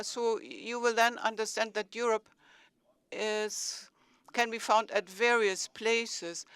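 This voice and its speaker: A man in his forties with a German accent, speaking as a city mayor on a conference panel, measured and searching for words. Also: high voice, bright, forceful tone, clean tone, dry recording.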